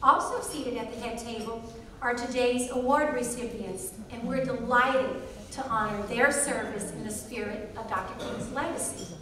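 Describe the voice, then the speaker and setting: Woman emcee, polite measured announcing, slightly high voice, distant mic, roomy banquet hall echo. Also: pushed and bright. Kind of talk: formal event hosting.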